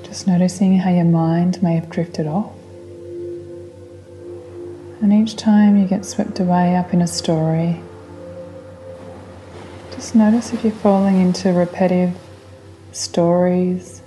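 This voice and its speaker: Woman, meditation guide, lowish voice, gentle and soothing, unhurried, relaxing music underneath. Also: fairly even intonation.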